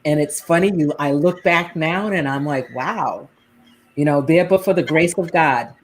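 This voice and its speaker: A woman's voice: low voice, moderate pace. Chatty woman host with New England accent. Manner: warm chatty host reading viewer comments. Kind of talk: casual livestream talk show.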